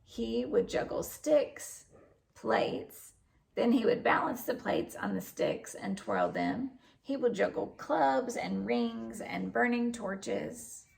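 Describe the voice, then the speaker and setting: A woman reading a children's story aloud in an expressive narrating style. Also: on the high side, takes it slowly, creaky. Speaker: storybook reader.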